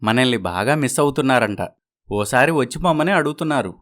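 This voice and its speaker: A man's voice: mid-range voice, expressive, brisk, a bit bright, close to an everyday voice. A man playing a dutiful adult son in a moral story, apologetic and placating in manner. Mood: considerate.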